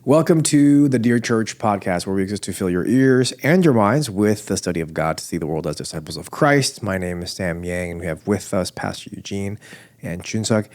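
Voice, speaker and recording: A man's voice: fairly low pitch, lively intonation, normal speed, a little mellow. A man hosting a church podcast with a nasal voice, chatting casually and joking at his own expense. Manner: joking, self-deprecating, rambling with restarts. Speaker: podcast host. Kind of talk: casual chat.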